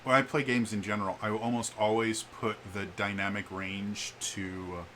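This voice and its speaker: A man giving a casual, opinionated vlog, with an expressive, emphatic delivery that shifts in volume and a stutter that catches on some words. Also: fairly low pitch, moderate pace. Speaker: vlogger. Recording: background room noise.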